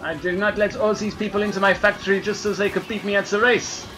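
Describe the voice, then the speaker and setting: A man doing comic gameplay commentary in a fake French accent, excitable and hammy, laughing at his own jokes. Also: high-pitched, fast talker, faint music behind, low-quality recording. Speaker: let's play gamer.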